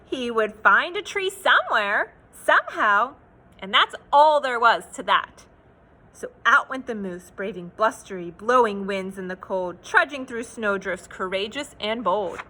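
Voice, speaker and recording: High, lively woman reading a storybook. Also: unhurried. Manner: expressive, playful, sing-song delivery. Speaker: children's read-aloud storyteller. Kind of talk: animated picture-book read-aloud with asides to children.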